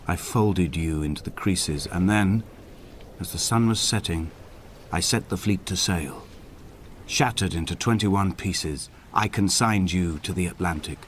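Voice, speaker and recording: An actor playing a grieving, injured castaway who narrates a video game in solemn, poetic, feverish monologue. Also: male voice, sits low, slight background noise. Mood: mournful, wistful. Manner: solemn, poetic, deliberate delivery. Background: music.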